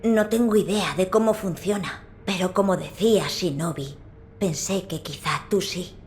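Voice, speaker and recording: Gentle, solemn young lord voiced by a woman. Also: lowish voice, a little hiss or hum, close to an everyday voice. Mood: thoughtful. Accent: Castilian Spanish. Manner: calm, measured, thoughtful. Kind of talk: video game voice acting.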